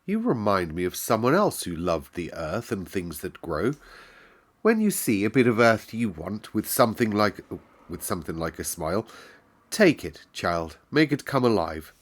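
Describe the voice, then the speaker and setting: Tired gloomy gentleman as a man reads him, slow and clipped, low-pitched, storybook reading. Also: sing-song. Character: gloomy, weary middle-aged gentleman of a manor.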